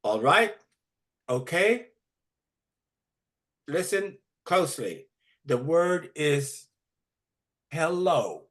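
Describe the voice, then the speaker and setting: A man putting on a shrill pompous schoolteacher lady. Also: a bit bright, heavily acted. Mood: coaxing. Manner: exaggerated, sing-song, over the top. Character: pompous condescending woman schoolteacher.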